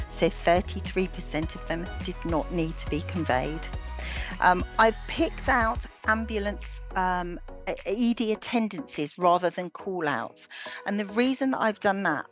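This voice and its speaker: A British woman with a slightly low voice talks an online audience through her slides. She is steady but halting, with plenty of ums and restarts, and her voice is starting to go. Faint music sits behind her on the call. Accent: British English. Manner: measured, with frequent ums and restarts. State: losing her voice. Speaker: webinar presenter. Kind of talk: presenting slides in a webinar.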